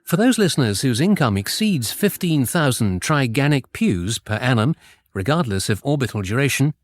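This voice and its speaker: Casting: British male continuity announcer, wry scripted read, animated intonation, very dry studio. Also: medium pitch, normal speed, no echo. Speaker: announcer reading credits. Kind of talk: scripted, tongue-in-cheek radio announcement.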